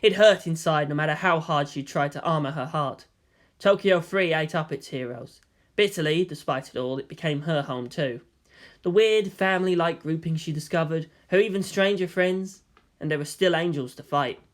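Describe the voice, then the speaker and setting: A man's voice: on the high side, normal speed. A man reading fiction aloud as narrator, in a dramatic scripted style. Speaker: story narrator.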